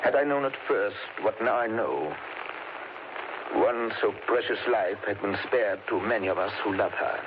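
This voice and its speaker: Actor as commanding vampire-hunting professor, dull old radio. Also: male voice, fairly low pitch, noisy background, natural voice. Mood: grave warning. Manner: oratorical, deliberate, urgent. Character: grave, commanding male professor and vampire hunter. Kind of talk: 1930s radio drama acting.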